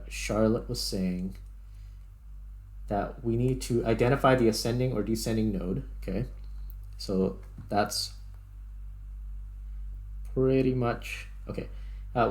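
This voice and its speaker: A man's voice: lowish voice, vocal fry, a little mellow. A man doing casual gameplay commentary, thinking aloud and talking through each step as he plays. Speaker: gaming video creator.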